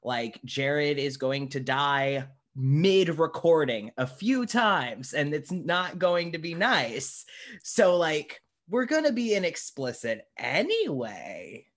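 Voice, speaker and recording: A man's voice: on the high side, very animated, takes it slowly, fairly bright tone. A man hosting a podcast, chatting casually and often rephrasing as he speaks. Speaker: podcast host interviewing a guest. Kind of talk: casual interview chat.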